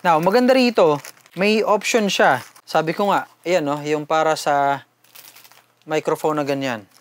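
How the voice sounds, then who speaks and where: A man's voice: a little high, a little slow. A man with a Filipino accent doing a casual, conversational unboxing and product review, mixing two languages as he talks informally to viewers.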